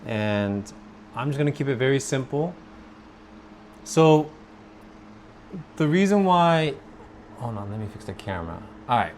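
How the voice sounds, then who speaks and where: A man, from Korea, talking slowly and thoughtfully about his work as an English teacher in a personal vlog. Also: mid-range voice, sing-song, darkish tone, slight background noise. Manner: slow, thoughtful, with pauses and fillers.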